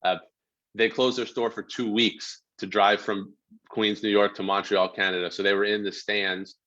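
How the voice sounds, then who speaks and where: A man's voice: on the low side, little pitch movement, moderate pace, bright, forceful tone. A man in his thirties, an author, talking earnestly about his book and reading a passage aloud over a video call. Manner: earnest, frequent "you know" fillers. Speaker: author guest. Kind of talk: casual talk and reading aloud. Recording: dull lo-fi video call audio.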